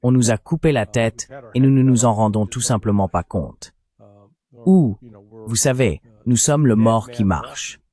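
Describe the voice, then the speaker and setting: A man reading translated political commentary in a measured, explanatory way, as a voice-over. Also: medium pitch, lively intonation, mellow. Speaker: panel commentator.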